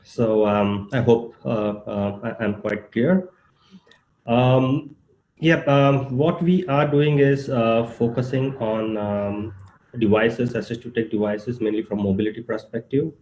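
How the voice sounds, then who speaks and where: A man with a cold speaking haltingly as a startup founder on a virtual panel, with many ums and pauses. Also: mid-range voice, fairly even intonation, darkish tone, echoey room, a little hiss or hum, low-quality recording. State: has a cold. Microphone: video call.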